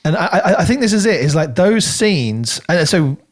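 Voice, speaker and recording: A man co-hosting a podcast, talking through a book casually in an animated, rambling way as he thinks aloud. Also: sits high, moderate pace. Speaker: podcast co-host.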